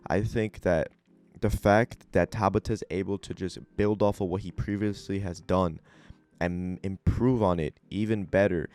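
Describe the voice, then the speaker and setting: A young man hosting a casual review video, talking in a relaxed, rambling way with many fillers, his voice a little raspy because he just woke up. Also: low-pitched, normal speed. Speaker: anime and manga video host.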